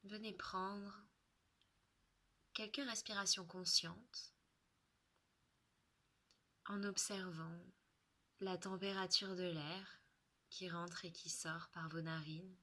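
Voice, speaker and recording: A woman leading a guided relaxation in French, soothing and unhurried, with soft, breathy phrase endings and long pauses. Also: fairly low pitch, steady tone.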